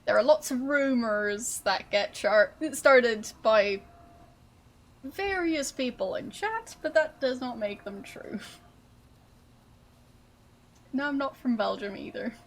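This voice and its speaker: Lady streamer chatting with viewers, slow and easy, a bit high, amused. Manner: relaxed, amused, answering chat. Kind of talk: casual chat with viewers.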